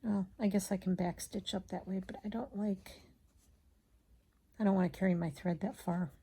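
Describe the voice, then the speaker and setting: A woman chatting casually as she hand stitches, rambling and absent-minded, muttering to herself, with a slightly gravelly voice. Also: medium pitch, steady tone, moderate pace, warm tone. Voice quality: slightly gravelly from a frog in the throat. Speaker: craft vlogger.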